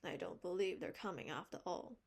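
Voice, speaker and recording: Female reader voicing a stern older woman, loud and firm, with a gravelly, husky edge. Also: on the low side, big pitch swings, fairly quick, exaggerated voice. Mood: cross.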